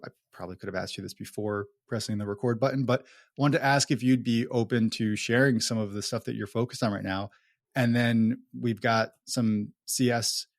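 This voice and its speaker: A man's voice: mid-range voice, no room sound, clean studio sound. A man hosting a podcast, giving playful, teasing introductions to his guests over a video call. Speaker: podcast host. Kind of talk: casual podcast chat.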